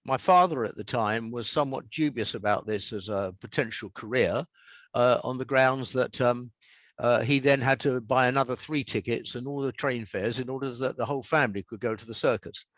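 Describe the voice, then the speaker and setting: Older Englishman with a lower-pitched voice. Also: brisk, fairly bright tone, dry recording. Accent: southern English. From South London, England. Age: 70s. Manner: dry, wry humour. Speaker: writer, interview guest. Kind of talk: anecdotal interview talk.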